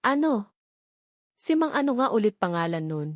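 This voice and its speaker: A woman voicing a lively young female socialite in an audiobook, excitable and chatty, mixing English into her Tagalog. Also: fairly high pitch, normal speed, no room sound, studio quality. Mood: shocked.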